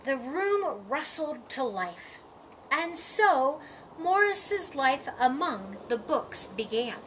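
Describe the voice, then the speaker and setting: A woman reading a children's story aloud, with expressive, deliberate phrasing and dramatic emphasis. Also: high-pitched, poor audio. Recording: audible background noise.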